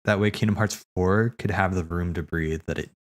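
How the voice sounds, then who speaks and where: Low-voiced man, casual rambling streamer. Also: steady tone, moderate pace. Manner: hesitant, repeating words as he thinks aloud. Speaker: streamer hosting a watch party. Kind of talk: casual rambling commentary, replying to chat.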